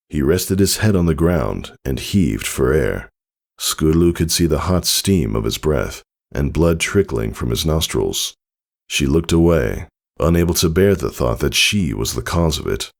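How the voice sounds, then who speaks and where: Very deep man narrating, lively intonation. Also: gravelly. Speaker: fan fiction narrator. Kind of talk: scripted dramatic story narration.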